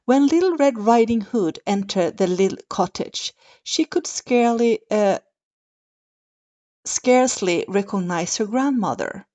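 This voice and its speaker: A woman narrating a bedtime story in a gentle, deliberate, expressive manner, like a mother reading to a child. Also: mid-range voice.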